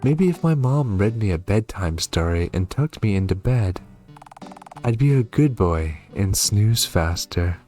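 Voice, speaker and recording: A man's voice: on the low side, lively intonation, a little slow, warm tone, soft background music. A man voicing a small, sweet, pleading young boy from a game, in a childlike, plaintive way. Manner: childlike, plaintive storybook read-aloud.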